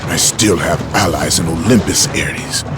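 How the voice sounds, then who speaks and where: An actor playing a grim, tormented, vengeful warrior in a video game, speaking with grave, declamatory intensity. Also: male voice, low voice, a little slow. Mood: defiant, bitter. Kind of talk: dramatic video game acting. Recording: orchestral music behind the voice.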